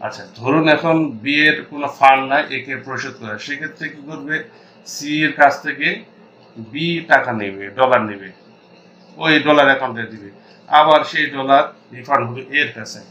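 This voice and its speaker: A man with a Bangladeshi accent explaining as an accounting instructor in an informal tutorial, haltingly thinking aloud and mixing in English terms. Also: mid-range voice, sharp tone, low-quality recording.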